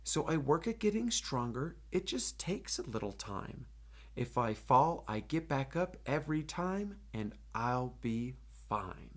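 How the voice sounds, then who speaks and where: A man's voice: medium pitch, big pitch swings, measured pace. A man voicing a ten-year-old former superhero boy, wistful but determined, in a rhyming picture-book read-aloud. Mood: hopeful, resolved.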